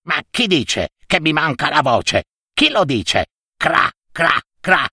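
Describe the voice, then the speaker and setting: A man voicing a vain, nervous crow that croaks and protests indignantly. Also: a little high, big pitch swings, slow pace, bright, forceful tone, dry recording, studio quality, pitched above the performer's own voice, full character voice. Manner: squawking, indignant.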